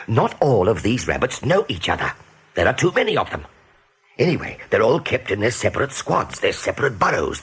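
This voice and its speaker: An actor playing a steady, kindly rabbit major in a radio play, measured and resolute. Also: male voice, medium pitch, slightly rough, heavily acted.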